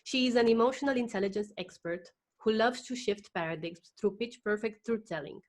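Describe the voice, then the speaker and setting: A woman's voice: medium pitch, a little fast. A woman hosting a podcast interview, introducing her guest enthusiastically and talking with frequent fillers. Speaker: podcast host.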